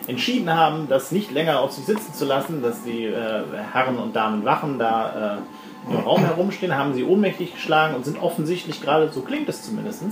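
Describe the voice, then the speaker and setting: A man running a tabletop game as game master, explaining rules and improvising scenes in a halting, explanatory way. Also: mid-range voice, animated, over music. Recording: roomy home recording with clear noise.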